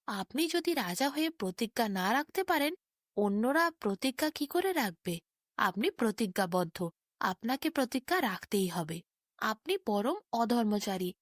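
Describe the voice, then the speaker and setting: A woman's voice: fairly high pitch, no room sound, studio quality. A woman voicing an ambitious, scheming younger queen in a fairy tale, formal and insistent.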